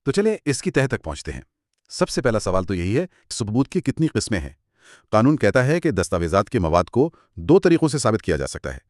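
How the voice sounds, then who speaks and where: Casting: male co-host, lowish register, very expressive intonation, curious questioner in a conversational lesson. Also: fairly quick, no room sound, studio quality. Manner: inquisitive, rephrases points in simple terms. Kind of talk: conversational educational dialogue.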